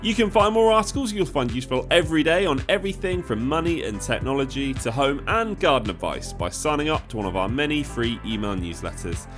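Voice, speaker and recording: A man reads the closing notes of a podcast in an upbeat, announcer-like way. His pitch moves up and down a lot through each line. Quiet music sits under him. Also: lively intonation, fairly quick, few pauses. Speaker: podcast producer and host. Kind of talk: scripted podcast outro.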